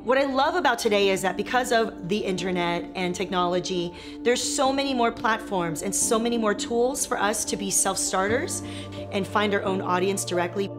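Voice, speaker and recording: A woman's voice: medium pitch, normal speed. A woman comedian in her thirties, from Taiwan, talking frankly with self-deprecating humor in an interview. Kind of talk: documentary interview, candid storytelling. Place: dark comedy club, seated. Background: music.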